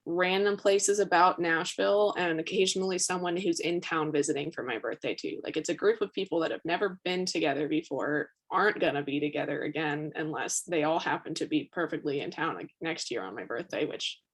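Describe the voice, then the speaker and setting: A woman's voice: deep voice, brisk, hardly any pauses. A young woman in her twenties chatting casually with her father on a family podcast, filling her sentences with "like.". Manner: chatty, many "like" fillers. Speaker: podcast co-host, daughter.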